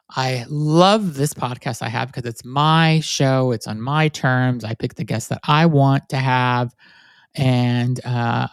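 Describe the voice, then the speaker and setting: A man's voice: medium pitch, clear, resonant tone, no room sound, studio quality. A man with a nasal voice hosting his own podcast, talking candidly and rambling with many fillers and restarts. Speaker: podcast host. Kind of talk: solo reflective podcast monologue.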